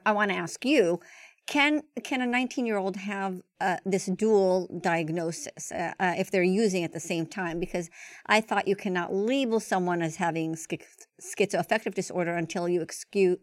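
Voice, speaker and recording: A woman's voice: on the low side, unhurried, no echo, studio quality. A woman hosting a podcast, asking questions in a chatty way with frequent stammering restarts. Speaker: podcast host. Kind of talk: interview questions.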